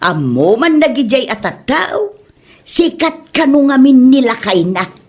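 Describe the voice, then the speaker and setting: A woman's voice: high-pitched, sing-song, unhurried, darkish tone, husky, exaggerated voice. A woman with an Ilocano accent playing a gossipy, blunt village woman in a comedy drama. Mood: indignant. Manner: exclaiming, nagging, blunt.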